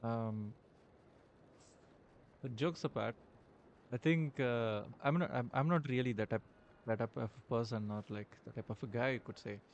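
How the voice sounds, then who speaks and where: A man with an Indian accent chatting in character during a roleplay game, halting and full of fillers. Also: medium pitch, lo-fi sound, a little hiss or hum. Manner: hesitant, halting, many fillers. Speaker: roleplay streamer.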